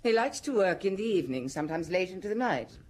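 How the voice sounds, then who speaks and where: An actress playing a cool, guarded bourgeois wife, poised and curt, in a radio drama. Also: female voice, mid-range voice, sing-song, quick pace, darkish tone. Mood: defensive.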